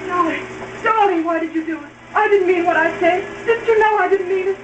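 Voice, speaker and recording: An actress playing a quarrelsome young wife in a 1930s melodramatic film style. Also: female voice, very high voice, brisk, highs cut off. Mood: distraught. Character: nagging young wife, quarrelsome, later contrite. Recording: noisy old film soundtrack.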